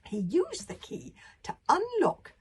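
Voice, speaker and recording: A woman's voice: extremely high pitch, huge pitch swings, slow pace, slight background noise. A woman author reading her children's book aloud, dropping into chatty, whispered asides. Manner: warm aside-prone, drops to whispers.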